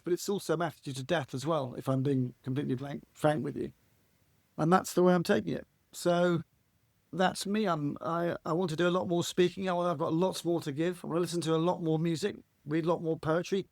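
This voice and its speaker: Husky-voiced man, dry close sound. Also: fairly high pitch, dry recording. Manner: rambling, restarts phrases, earnest. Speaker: podcast guest. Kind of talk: reflective conversational interview.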